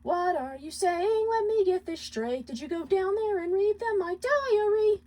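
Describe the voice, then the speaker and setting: Woman putting on a defensive male imp, sung lines, very high, slow and stretched out. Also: animated, dark tone. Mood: shocked.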